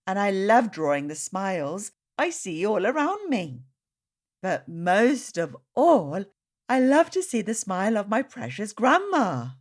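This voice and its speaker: A woman reading the words of tender, devout family members in a children's storybook, gently and earnestly. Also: mid-range voice, sing-song, measured pace.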